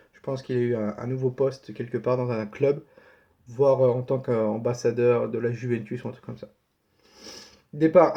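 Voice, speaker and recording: A man's voice: mid-range voice, mellow. A man with a head cold, giving a casual football news update in a colloquial way, repeating points for emphasis. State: has a cold. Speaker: host of a football transfer news channel. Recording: dull, lo-fi sound.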